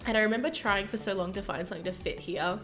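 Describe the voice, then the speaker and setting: A young woman chatting casually over a game build video, rambling and giggly with lots of fillers, her voice croaky from early morning. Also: medium pitch, fast talker, a bit bright, soft background music. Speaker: gaming YouTuber narrating a build.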